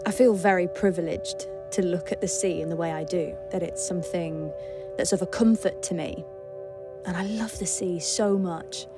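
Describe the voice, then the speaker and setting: A woman's voice: lowish voice, steady tone, moderate pace, music in the background. A woman sharing personal memories in an earnest, conversational, emphatic way, reflecting as she speaks.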